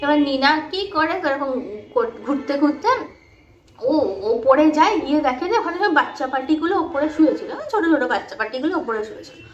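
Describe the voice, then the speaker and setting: A woman's voice: sits high, lively intonation, a little fast, highs cut off. A woman casually retelling a ghost story in a chatty, unscripted way, mixing in English words. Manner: chatty, mixes English words into her telling, acts out dialogue. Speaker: storyteller on a horror audio story channel. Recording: roomy echo, faint background noise.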